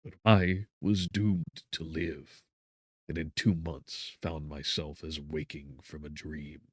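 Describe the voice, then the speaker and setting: A man reading a gothic audiobook in a solemn, measured, dramatic way, with brooding pauses. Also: extremely low pitch, no echo, clean studio sound. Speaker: audiobook narrator. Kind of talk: dramatic scripted narration of gothic literature.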